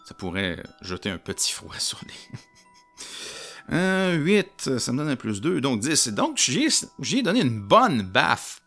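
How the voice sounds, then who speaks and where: A man with a Québécois accent narrating a solo tabletop roleplaying game in an animated, jokey way and talking to his audience. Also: medium pitch, unhurried, soft background music. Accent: Québécois French.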